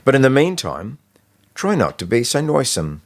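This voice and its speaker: A man acts out a schoolboy hero in a children's audiobook, nervy but cheeky. He talks back with urgent defiance, his pitch lifted well up. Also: wildly swooping pitch, moderate pace.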